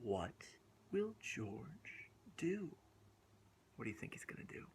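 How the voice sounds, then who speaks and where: A man reading a picture book aloud to young children in an animated, drawn-out way, asking questions and dropping into whispers. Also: mid-range voice, noisy background. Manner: drawn-out, asks the listeners questions, drops to whispers. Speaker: children's storytime host.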